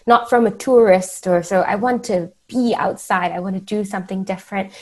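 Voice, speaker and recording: A woman from the Bahamas, speaking as an interview guest and telling stories in a casual, animated way. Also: mid-range voice.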